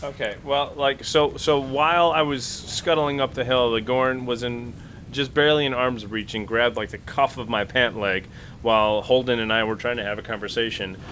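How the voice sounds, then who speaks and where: A man's voice: mid-range voice, fairly even intonation, normal speed, a bit bright. A man playing a tabletop sci-fi role-playing game, chatting casually and jokily as he narrates his character's actions in stop-start phrases. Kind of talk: casual improvised actual-play chat. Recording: noisy, rain and engine-like ambience behind.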